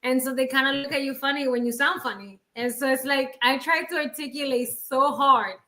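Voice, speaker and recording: A woman's voice: high-pitched, steady tone, brisk, pushed and bright, roomy sound. A woman from the Bronx with a New York and Spanish accent, chatting playfully as a podcast co-host and laughing often. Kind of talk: casual chat.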